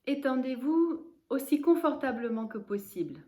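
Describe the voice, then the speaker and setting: A woman leading a guided relaxation as a yoga nidra instructor, giving calm, measured instructions with pauses. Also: high-pitched.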